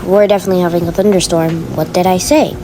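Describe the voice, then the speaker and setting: A woman's voice: on the low side, steady tone, fast talker, mellow, slight background noise, pitched below the performer's own voice, natural voice, poor audio. A woman voicing a worried, grumbling father in an amateur family roleplay. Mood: insistent.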